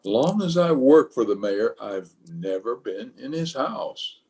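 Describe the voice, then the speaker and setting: An actor as a pompous middle-aged boss in an old-time radio comedy. He blusters and stammers, hedging his way around things in a man's mid-pitched voice. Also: sing-song, slow, darkish tone. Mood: evasive, flustered. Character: flustered, evasive, pompous middle-aged male water department boss.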